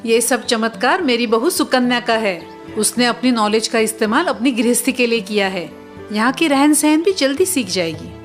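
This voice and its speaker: A woman playing a proud, knowing middle-aged mother-in-law who explains firmly in an animated moral story. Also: a little high, normal speed, faint music behind, close to an everyday voice.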